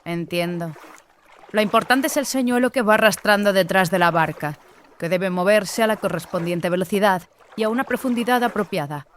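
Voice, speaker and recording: A woman's voice: medium pitch, slight background noise, natural voice. Woman acting a haughty, sarcastic young sorceress. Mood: dry, knowing. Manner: mocking, deliberate, mock-sweet. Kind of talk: acted audiobook dialogue.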